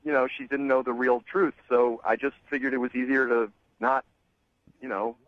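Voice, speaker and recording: A man on a phone call to a radio show, speaking hesitantly with many pauses, restarts and "um"s. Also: medium pitch, animated, no echo. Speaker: caller on the phone. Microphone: telephone. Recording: muffled phone line.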